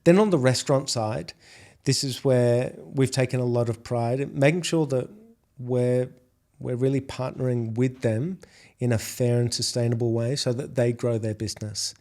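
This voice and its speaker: A middle-aged Australian man with an Australian accent, answering podcast questions in a measured way and often restarting on repeated words. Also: mid-range voice, fairly even intonation, darkish tone. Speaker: podcast guest, food delivery executive. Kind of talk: business interview talk.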